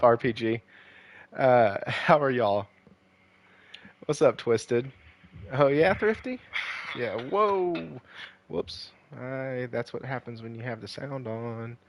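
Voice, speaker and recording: Gaming stream guy, kinda hoarse, voice going, lots of uhs, chatty w/ chat, middle pitch. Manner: rambling, many "uh" fillers; chatty, greets viewers by name. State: hoarse, losing his voice. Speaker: gaming livestream host. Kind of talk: casual livestream commentary.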